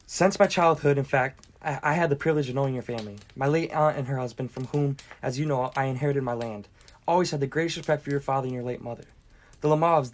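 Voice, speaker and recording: An actor playing a nervous, touchy hypochondriac landowner in a stage comedy, speaking in a fussy, formal way that stumbles when agitated. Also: male voice, mid-range voice, natural voice. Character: nervous, touchy hypochondriac landowner, a male suitor prone to outrage.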